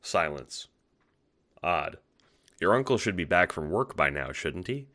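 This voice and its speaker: A man narrating an audio drama in a scripted, suspenseful style, halting with dramatic pauses. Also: low voice, big pitch swings, pushed and bright.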